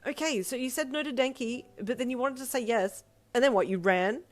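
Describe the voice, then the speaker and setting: A woman voicing a blunt, protective, exasperated sister in a story, scolding loudly. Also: sits high, big pitch swings, brisk, faint music behind, voice pushed up. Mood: exasperated, bossy.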